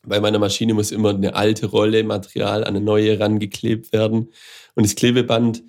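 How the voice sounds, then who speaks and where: Podcast co-host, man, lower voice, congested nose, easygoing explainer. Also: little pitch movement, moderate pace, darkish tone. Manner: chatty, laughing easily, explaining. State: stuffy nose from a cold. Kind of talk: casual chat.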